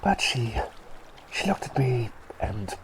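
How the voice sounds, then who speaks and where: A man voicing a kindly, silly talking bird doctor in a whispered ASMR roleplay, speaking hesitantly and politely and softly repeating his phrases. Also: sing-song, slow pace, very noisy. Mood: bashful storytelling. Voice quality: breathy whisper with creaky low voiced moments.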